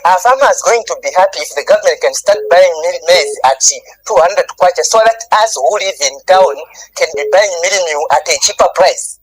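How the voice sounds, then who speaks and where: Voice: medium pitch, lively intonation, brisk, hardly any pauses, low-quality recording. A caller with a Zambian accent phoning a radio show, making a preachy appeal about maize prices and hard work. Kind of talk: phone-in call on food prices.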